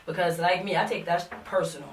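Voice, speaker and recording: A blunt, animated young woman chats on a small studio show, laughing now and then. She sits a little back from the desk mic. The room is roomy and echoey. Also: on the low side, a little fast, recorded from a distance, slight background noise. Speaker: co-host of a music talk show. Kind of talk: casual studio chat. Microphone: desk microphone.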